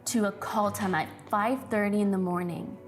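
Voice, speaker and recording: A woman who grew up in Mexico tells her acting story with an animated, expressive delivery. Soft music plays faintly behind her. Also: mid-range voice, normal speed. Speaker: actress. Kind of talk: interview storytelling.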